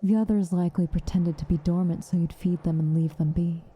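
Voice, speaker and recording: A woman playing a stern but caring witch in an ASMR roleplay, speaking softly and with measure, dryly commanding and often slipping into a whisper. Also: lowish voice, steady tone, brisk, slight background noise, natural voice. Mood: patient explaining. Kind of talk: ASMR roleplay, intimate acted monologue.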